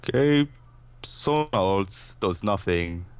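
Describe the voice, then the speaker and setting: A man's voice: sits low, animated, slow pace, slight background noise. A man co-commentating a video game casually, with a dry, sarcastic way of talking. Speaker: gaming co-commentator.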